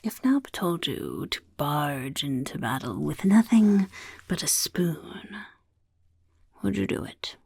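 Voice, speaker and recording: A woman voicing a scheming male goblin who interviews recruits for a chaos horde, in a whispery soft-spoken ASMR roleplay, talking of himself in the third person. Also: sits low, very animated, slow pace, full character voice. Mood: curious. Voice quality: gravelly.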